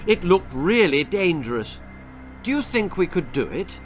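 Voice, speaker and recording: Man as a high-pitched posh plucky schoolboy. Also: sing-song, measured pace, faint music behind. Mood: eager. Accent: posh English. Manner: earnest, old-fashioned politeness. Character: plucky, posh, indignant schoolboy adventurers in an old-fashioned gang. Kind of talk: children's horror-comedy storybook reading.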